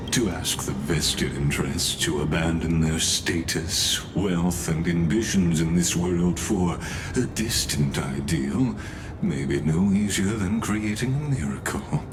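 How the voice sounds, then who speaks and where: A man voicing a grave, reflective young hero in a video game, with a measured, analytical, stern delivery. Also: sits low, noisy background. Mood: pensive, somber. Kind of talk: video game voice acting, inner monologue. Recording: loud music behind the voice.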